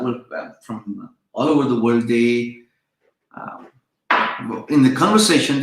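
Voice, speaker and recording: Chatty man lecturing in an echoey room. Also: mid-range voice. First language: Urdu. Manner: rambling, chatty, many fillers and restarts. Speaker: online freelancing instructor. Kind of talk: casual live-stream lecture.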